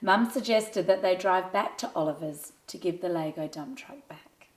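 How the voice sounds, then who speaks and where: Woman, slightly low pitch, storyteller, scripted children's narration, expressive, addressing young listeners. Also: moderate pace.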